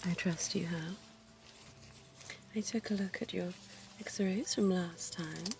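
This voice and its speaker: A woman voicing a suave, centuries-old vampire dentist in an ASMR roleplay, whispering softly with genteel, teasing charm. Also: fairly low pitch, vocal fry, noisy background. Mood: soothing. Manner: soft whispering, slow and intimate; genteel and teasing, quiet chuckles.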